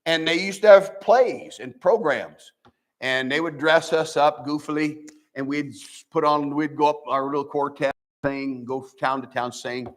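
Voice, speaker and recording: Nasal older pastor, higher voice. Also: male voice, a little slow. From American Midwest. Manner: animated, folksy preaching with many restarts. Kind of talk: sermon. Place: church.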